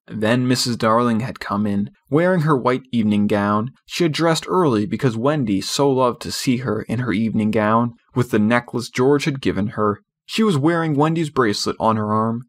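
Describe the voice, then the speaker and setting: A man's voice: medium pitch, moderate pace, hardly any pauses. A man reading a classic storybook aloud as an audiobook narrator, in scripted narration.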